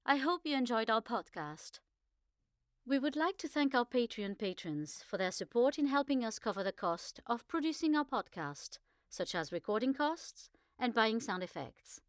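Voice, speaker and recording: A woman's voice: a little high, animated, moderate pace, no room sound. Woman reading in a dry, close recording. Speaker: podcast presenter and poetry reader. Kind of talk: poetry recital and scripted announcements.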